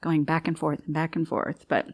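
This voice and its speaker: A woman's voice: low voice, level tone, normal speed, slightly rough. A woman hosting a casual book club chat, friendly and laughing often, with plenty of fillers. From Kansas.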